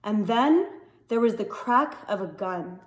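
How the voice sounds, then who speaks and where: A woman reading a novel aloud as an expressive story narrator. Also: medium pitch, measured pace. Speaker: story reader. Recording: echoey, reverberant room.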